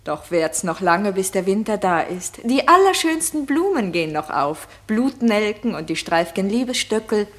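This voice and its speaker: An actress playing a modest, anxious and gracious young shepherdess in a classical German radio drama. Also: female voice, medium pitch, big pitch swings, moderate pace, hardly any pauses, close to an everyday voice. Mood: earnest.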